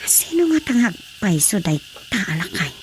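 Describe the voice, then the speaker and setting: A woman's voice: medium pitch, sing-song, measured pace, a crowd in the background. A woman playing a puzzled, exasperated Filipino household member in a comedic radio drama, questioning and exclaiming. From Philippines. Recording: noisy radio drama track.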